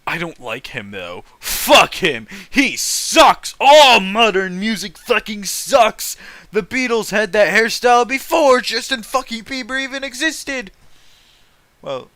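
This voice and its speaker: A man's voice: high-pitched, animated, slow, fairly bright tone, no echo. A man hosting a casual read-aloud with mocking commentary, foul-mouthed and incredulous, often breaking off to react. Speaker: host reading fan fiction aloud and commenting.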